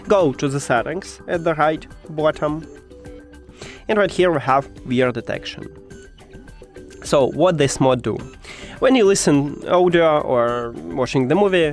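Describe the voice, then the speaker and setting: A man who speaks English as a second language, presenting a step-by-step tech tutorial with hesitant, halting phrasing. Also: a little high. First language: not English.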